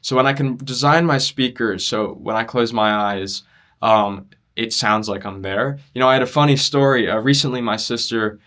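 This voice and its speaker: A young man in a conversational interview, explaining his loudspeaker design work earnestly and in detail. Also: medium pitch, moderate pace. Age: young adult. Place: demo room at an audio show.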